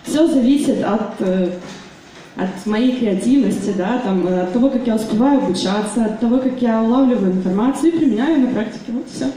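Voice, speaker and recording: A young woman speaks to a business meeting in a mellow, slightly high voice, pausing often and filling gaps with hesitations. She is picked up from a distance in a very echoey hall, with a faint hiss underneath. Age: 20s. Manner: hesitant, many fillers and restarts. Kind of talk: public speech at a meeting.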